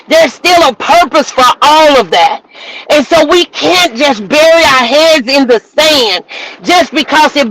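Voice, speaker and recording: A woman minister hosting a livestream, giving an emphatic, preacherly motivational talk that at times rises to shouts. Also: sits high, no room sound, low-quality recording. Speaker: minister and host. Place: outdoors walking in the rain.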